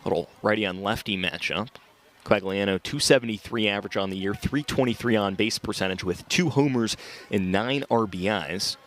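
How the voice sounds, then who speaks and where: A man calling live baseball play-by-play, reciting stats in measured phrases. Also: on the low side. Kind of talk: live sports commentary.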